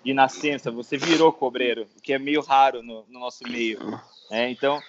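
A man's voice: mid-range voice, steady tone, normal speed. A man hosting a podcast, enthusiastic, laughing often and tripping over his words. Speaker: podcast host. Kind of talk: casual interview chat. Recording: dull lo-fi sound with faint noise.

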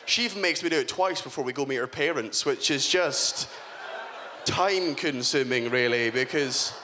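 A man's voice: mid-range voice, moderate pace, sharp tone, slight background noise, natural voice. A young man doing his blunt dad. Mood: earnest advice. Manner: matter-of-fact fatherly advice. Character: blunt middle-aged Scottish dad giving an awkward talk.

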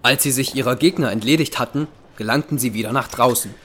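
A man's voice: mid-range voice, fast, slight background noise. A man narrating an audio drama in a scripted, dramatic storytelling style. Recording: voice under loud music.

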